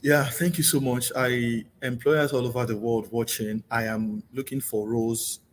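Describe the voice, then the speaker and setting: A man's voice: medium pitch, little pitch movement, normal speed, slight background noise. A Nigerian man answering interview questions about his work, politely thanking the host again and again. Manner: polite, repeats "thank you so much". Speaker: job seeker guest.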